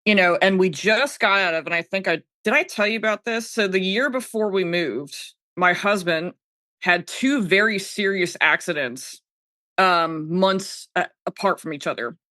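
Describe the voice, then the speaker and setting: A woman's voice: mid-range voice, moderate pace, pushed and bright, no echo, clean studio sound. A woman from South Jersey with an American accent, chatting casually and telling personal stories, often breaking off and restarting her sentences. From South Jersey, United States. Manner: informal, frequent self-interruptions. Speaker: podcast guest, audio and video producer. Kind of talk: casual chat, personal storytelling.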